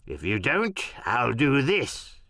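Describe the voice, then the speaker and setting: A man voicing a cruel old blind beggar in an audiobook radio play, soft-spoken and cold, with a slow, drawn-out, falsely wheedling sing-song. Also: mid-range voice, wildly swooping pitch, no room sound, studio quality, exaggerated voice. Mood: cold, commanding.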